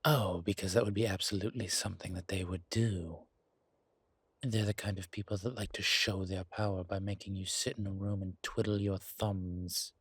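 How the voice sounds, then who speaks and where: A man playing a weary, wry vampire elder in an audio roleplay, speaking in a slow, sardonic drawl with formal, old-fashioned wording. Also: deep voice, steady tone, breathy edge, no room sound. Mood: wry, resigned. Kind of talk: dramatic audio roleplay acting.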